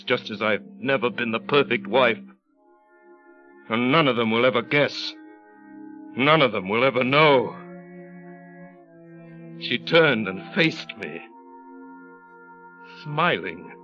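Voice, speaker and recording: An actor playing a tormented, brooding aristocratic husband in an old radio drama, speaking with intense, deliberate dramatic phrasing. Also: male voice, on the low side. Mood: mocking, bitter, then haunted. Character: tormented, brooding aristocratic husband confessing to his young wife. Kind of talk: 1930s radio acting. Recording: muffled old radio broadcast, music underneath.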